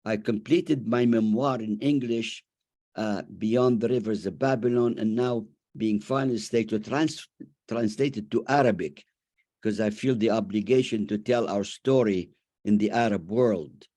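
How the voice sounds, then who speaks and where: Very old man, low voice. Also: a little slow, mellow. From Iraq. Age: over 90. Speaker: audience member, memoir author. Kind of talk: spoken comments and questions in an online discussion. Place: remote online video call.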